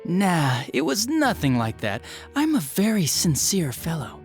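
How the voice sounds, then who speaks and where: An actor playing a smug, spiteful young detective villain in a video game, with a sneering, flippant and theatrical manner. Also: male voice, a little high, wildly swooping pitch, a little slow, soft background music. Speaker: police detective. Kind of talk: dramatic video game voice acting.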